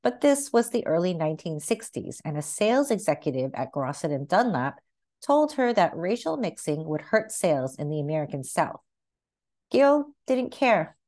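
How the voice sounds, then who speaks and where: A woman narrates a children's book in a read-aloud style. Her voice sits a little low but moves through a lively range of intonation. The phrasing is measured and expressive.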